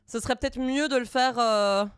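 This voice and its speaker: A woman streamer chatting casually while she codes live, thinking aloud in halting bits and dropping in English coding terms. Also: on the high side, clean tone, no room sound. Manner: thinks aloud, halting, many fillers and restarts.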